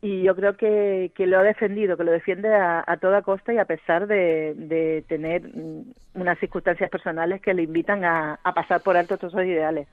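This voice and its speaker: A woman's voice: fairly low pitch, fairly even intonation, normal speed, dry recording. A woman novelist from Gran Canaria, answering radio interview questions thoughtfully, with many fillers and restarts. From Gran Canaria, Spain. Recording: dry, tinny phone-like line.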